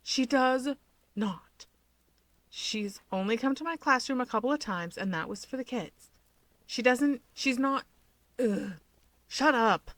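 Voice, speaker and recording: Woman playing flustered young teacher sister in a storybook, defensive and exasperated, pitched up high and bright. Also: unhurried, long pauses, no room sound. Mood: flustered, indignant.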